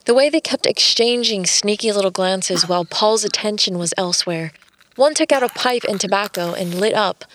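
A woman's voice: mid-range voice, moderate pace, a bit bright, a little hiss or hum, lightly acted. An actress playing a wry modern woman who confidingly narrates her own story in a scripted audio drama. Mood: suspicious.

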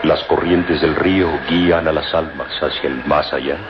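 A wise, middle-aged professor explains things solemnly in a low, measured voice. He is a resigned father in a radio melodrama, on a muffled recording with clear noise. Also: fairly even intonation. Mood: grave. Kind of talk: melodramatic radio serial acting.

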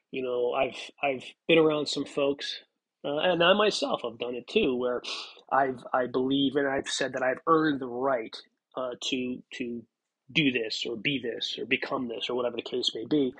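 A man's voice: mid-range voice, moderate pace, fairly bright tone, slightly rough, poor audio. A man in his forties hosting a solo podcast, talking in a reflective, rambling way with many fillers and restarts, a bit stuffy from a cold. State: stuffy from a cold, clears throat. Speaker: podcast host. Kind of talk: casual solo podcast monologue.

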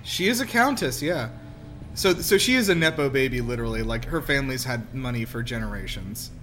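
A man's voice: mid-range voice, expressive, a little fast, slight background noise. A man chatting casually and jokingly as he comments on a film as co-host. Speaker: podcast co-host. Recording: loud film soundtrack playing behind. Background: film music and dialogue.

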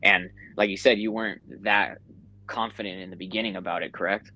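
A man from the United States with a lowish voice hosts a podcast. He asks long, loose questions packed with fillers and restarts. He keeps an easygoing conversational tone with his guest. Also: steady tone, fairly quick, bright, forceful tone, low-quality recording. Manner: rambling, filler-heavy, uses "like" and "you know". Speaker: podcast host. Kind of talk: casual interview chat.

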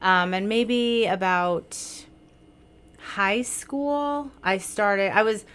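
Direct woman guest with a smooth voice. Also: mid-range voice, a bit bright. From born in New Jersey, grew up in South Florida. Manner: direct, talks quickly about her life. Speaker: podcast guest. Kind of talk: casual chat.

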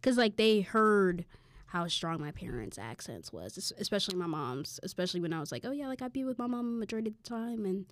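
A woman's voice: mid-range voice, big pitch swings, quick pace, a little hiss or hum. A young woman co-hosting a podcast in casual chat, blunt and slangy with emphatic asides. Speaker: podcast co-host.

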